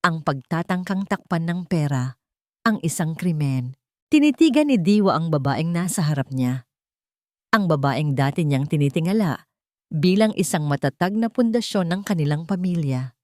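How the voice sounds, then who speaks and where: Woman storyteller for dramatic scripted narration, lowish pitch, dry close recording. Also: expressive, moderate pace, no echo.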